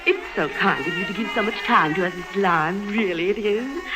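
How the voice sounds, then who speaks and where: A woman's voice: mid-range voice, animated, normal speed, music in the background, lightly acted. An actress playing a gushing, sentimental, genteel woman in a 1950s radio drama, effusive and fluttery. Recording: old 1950s radio recording.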